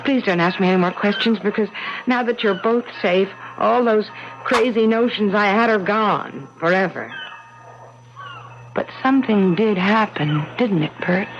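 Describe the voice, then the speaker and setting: A woman's voice: medium pitch, measured pace, background noise, close to an everyday voice. An actress playing a loving, anxious mother in an old-time radio drama, genteel and earnest. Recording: muffled old radio broadcast, music behind.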